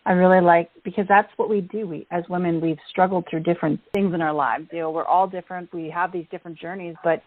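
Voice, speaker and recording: A woman's voice: fairly low pitch, steady tone, fast talker, hardly any pauses, no room sound. A woman hosting a conversational interview, effusive and affirming, with emphatic phrasing. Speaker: podcast host, interviewer. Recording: dry but phone-like narrow sound.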